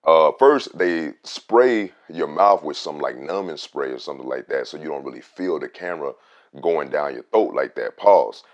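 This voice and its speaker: A man with a very deep, rich voice, a radio host telling a casual story about his own voice. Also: normal speed, muffled recording. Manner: animated, swings loud on emphasis.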